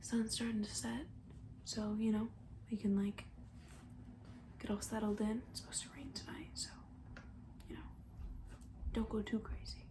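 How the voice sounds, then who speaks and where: Girl doing a soft whispery camp counselor asmr thing, rambly and comforting, super gentle. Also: fairly high pitch, little pitch movement, moderate pace, long pauses, lightly acted. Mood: reassuring, hushed. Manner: hushed, rambling, casual, reassuring.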